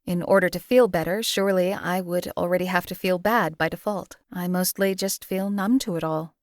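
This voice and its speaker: A woman's voice: fairly low pitch, steady tone, dry recording, close to an everyday voice. A woman voicing a cold, detached female hitman. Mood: dry, wry. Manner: measured, detached and dry. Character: cold, numb female hired killer, once enslaved. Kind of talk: video game companion voice lines.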